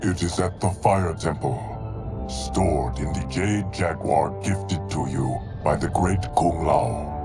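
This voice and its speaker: A very low, unhurried voice of an ancient guardian, grave and even. He speaks over a clear bed of music, like a video game cutscene. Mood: solemn. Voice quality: deep, measured, solemn. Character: stoic ancient male time guardian. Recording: game audio with music behind.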